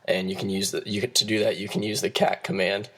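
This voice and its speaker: A hoarse young man, losing his voice, giving a class presentation and explaining steps in a halting way with frequent restarts. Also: on the low side, level tone, a bit bright. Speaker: student presenter.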